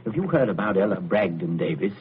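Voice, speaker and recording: Bluff English captain, very animated. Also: male voice, low voice, fast. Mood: hearty, blustering. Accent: British. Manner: clipped, hearty, blustering. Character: bluff, breezy English captain, jilted and blustering neighbour. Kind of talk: old-time radio suspense acting. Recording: scratchy, tinny old radio recording.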